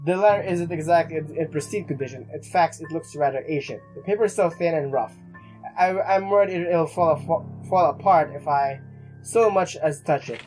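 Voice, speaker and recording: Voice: mid-range voice, moderate pace, darkish tone, poor audio. A let's player reading a visual novel aloud in a dramatic, stumbling way and reacting along the way. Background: game music.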